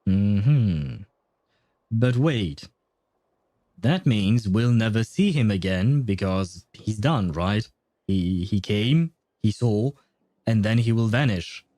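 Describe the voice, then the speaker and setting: Male game streamer, soft mellow tone, dry close sound, casual, rambling, halting chat with viewers. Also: on the low side, lively intonation, no echo. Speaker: game livestreamer. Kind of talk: casual livestream chat and commentary.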